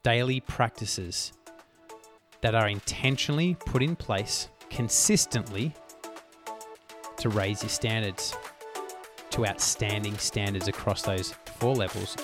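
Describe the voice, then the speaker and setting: A man hosting a solo motivational podcast, talking emphatically and swearing casually, his voice worn hoarse. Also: on the low side, slow, pauses often, music in the background. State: losing his voice. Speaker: podcast host.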